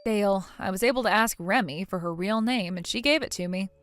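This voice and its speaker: A woman playing a polite, wary young bride in a scripted story drama, with a measured, questioning manner. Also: medium pitch, over loud music, close to an everyday voice. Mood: exasperated. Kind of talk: scripted melodrama story dub.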